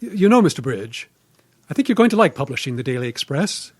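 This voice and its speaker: An actor playing a mild, hesitant old newspaper columnist, flustered and deferential, in an old-time radio drama. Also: male voice, mid-range voice, big pitch swings, fast talker, no echo. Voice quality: hesitant, stammering when flustered. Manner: genial, meandering, absent-minded. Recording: live stage recording with background noise.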